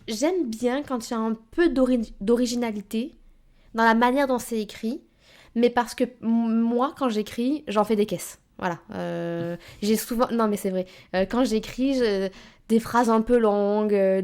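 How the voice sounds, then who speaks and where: A woman co-hosting a book podcast in casual chat, thinking aloud and pausing on fillers as she speaks. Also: fairly high pitch, a little mellow. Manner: thoughtful, hesitant with many fillers. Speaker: podcast co-host. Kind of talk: casual chat about books.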